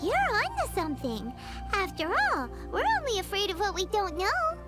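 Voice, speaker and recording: A woman voicing a tiny floating fairy companion in a video game, squeaky, bouncy and curious. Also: huge pitch swings, normal speed, full character voice. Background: game music.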